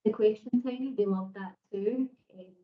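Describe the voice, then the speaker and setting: A woman chats keenly and casually about a school visit, pausing often on fillers. Her sound is muffled and lo-fi, coming through a poor video call connection. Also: mid-range voice. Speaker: education worker. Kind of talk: online meeting presentation.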